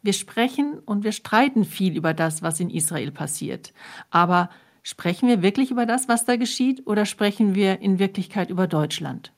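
A woman's voice: mid-range voice, expressive, normal speed. A woman from Germany, co-hosting and narrating a scripted radio feature. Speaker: co-host of a radio programme.

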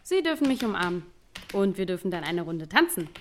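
A woman's voice: fairly low pitch, very animated, fairly quick, slight background noise. A woman playing a playful, reassuring nursing home carer in a naturalistic radio play, teasing and encouraging. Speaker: care worker.

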